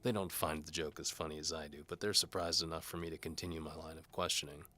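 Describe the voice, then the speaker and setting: A man's voice: rumbling low, steady tone, quick pace, sharp tone. A man narrating a scripted first-person audio drama in a dry, hard-boiled noir style. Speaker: narrator and performer.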